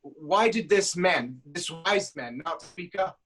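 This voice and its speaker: A man's voice: fairly high pitch, expressive, slow, a bit bright, slightly rough, full character voice. A man playing an angry, suspicious ancient Greek king in a declamatory, accusing stage tragedy reading. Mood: suspicious, interrogating.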